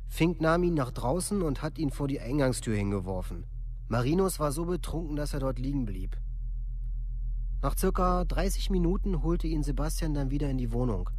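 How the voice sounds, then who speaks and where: An actor playing a rough young village man who gives a plain, unemotional account in a documentary radio play. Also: medium pitch, darkish tone, slight background noise, natural voice. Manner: matter-of-fact, plain, unemotional recounting.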